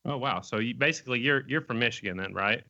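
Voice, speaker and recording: A man's voice: medium pitch, fast talker, vocal fry, pushed and bright, muffled recording. A man hosting a podcast interview, asking questions in a casual, friendly way. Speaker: podcast host.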